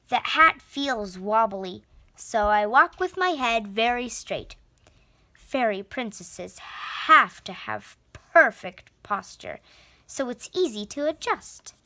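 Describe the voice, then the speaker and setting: A woman narrates a children's storybook. Her voice is high, and she takes her time. The phrasing is deliberate and expressive. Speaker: storybook reader. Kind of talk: children's read-aloud narration.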